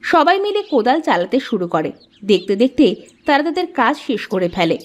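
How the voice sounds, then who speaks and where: Animated woman narrating a children's story. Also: fairly high pitch, moderate pace.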